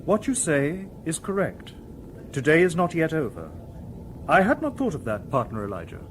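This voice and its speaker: Polite humanoid robot, radio drama. Logical, formal, precise, slightly high voice, light background noise. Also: male voice, very animated. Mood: formal, literal. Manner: formal, precise, measured wording. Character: polite, logical humanoid male robot detective, calm and literal.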